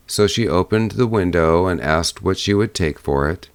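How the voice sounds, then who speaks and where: A man narrating a fairy tale as an audiobook, in a measured storytelling manner. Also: sits low, clear, resonant tone, no room sound.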